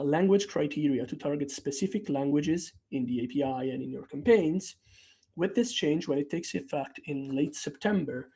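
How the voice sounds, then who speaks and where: A man's voice: fairly high pitch, mellow, slightly rough. A man whose first language is Italian, presenting a technical product update in a conversational way with frequent fillers and restarts. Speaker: developer relations presenter.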